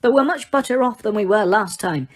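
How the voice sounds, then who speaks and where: A calm grown man, voiced by a woman reading aloud, steady and firm. He speaks soothingly, a touch higher than her narration. It's a storybook reading with a plain, gentle reassurance. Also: brisk, natural voice.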